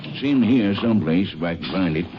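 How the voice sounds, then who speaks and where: An actor playing a folksy, laconic small-town Texas constable in 1950s American radio drama. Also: male voice, low-pitched, expressive, fairly quick. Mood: helpful, easygoing. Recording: dull, hissy 1950s radio recording.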